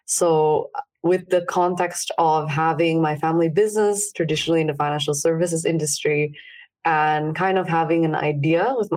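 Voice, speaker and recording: A woman's voice: low-pitched, darkish tone. A young adult woman from Indonesia, a startup founder, earnestly explaining her background as an interview guest. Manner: earnest, explains at length with fillers. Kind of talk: casual interview answers.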